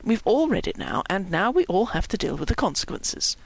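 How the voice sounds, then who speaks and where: A woman voicing a dignified, exasperated young man in a story, quiet, measured and pompous. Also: medium pitch, sing-song, vocal fry.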